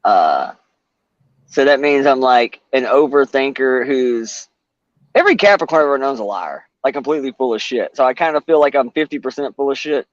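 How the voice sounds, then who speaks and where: A man's voice: medium pitch, animated, normal speed, no room sound, lo-fi sound. A man in his early forties on a livestream panel, rambling and self-mocking, with plenty of swearing. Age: 40s. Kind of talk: casual chat.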